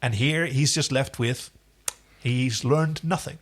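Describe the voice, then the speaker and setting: A man's voice: mid-range voice, slow, a little hiss or hum. A man co-hosting a podcast, casually recapping a TV episode with emphatic, repeated phrases. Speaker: podcast co-host.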